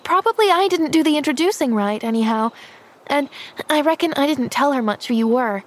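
A woman's voice: high-pitched, expressive, a little fast, voice pushed up. A woman voicing an eager, kind-hearted young girl in an audiobook, chattering in a breathless, earnest, gushing way. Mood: apologetic, earnest. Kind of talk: audiobook character voice.

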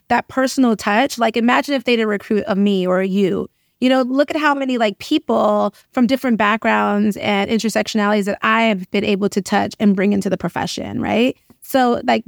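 A middle-aged woman, an interview guest, telling personal stories in an animated, emphatic, casual way and often checking in with "right?". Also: medium pitch, brisk, gravelly, few pauses, no echo, clean studio sound. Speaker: interview guest, occupational therapist and educator.

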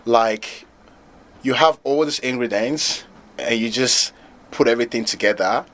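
A man from Nigeria, founder of a pop-up restaurant, talking in a relaxed, loose way as a guest in an interview. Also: medium pitch, measured pace. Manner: relaxed, loose, filler-heavy talk with laughs. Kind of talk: casual interview chat.

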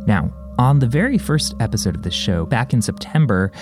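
Podcast host man over loud music. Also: mid-range voice, very animated, fairly quick. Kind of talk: scripted podcast intro. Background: music underneath.

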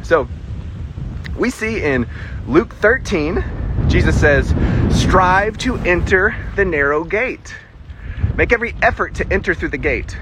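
The Southern American man you'd hear on a homemade Bible podcast, swinging his pitch up and down to press each point, some noise behind him. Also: fairly bright tone. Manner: emphatic, rhetorical questions, acts out objections. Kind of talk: conversational Bible teaching.

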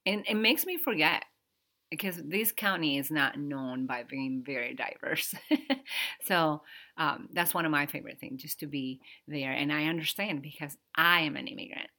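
A Dominican-accented woman in her thirties cohosts a relaxed podcast in English, her second language. Her voice sits low but has a bright, forceful edge. She talks in a halting way, stopping to search for words and laughing easily. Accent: Dominican Spanish. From Dominican Republic. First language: Spanish. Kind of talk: casual podcast conversation.

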